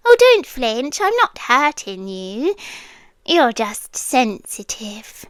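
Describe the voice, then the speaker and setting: A woman playing a possessive, devoted yandere girlfriend with a British accent, speaking softly and deliberately in an intimate ASMR roleplay, often slipping into whispers. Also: a little high, sing-song, clean tone, no echo, heavily acted. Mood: doting, possessive. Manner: slow, deliberate, lingering pauses. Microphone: close microphone, dry sound.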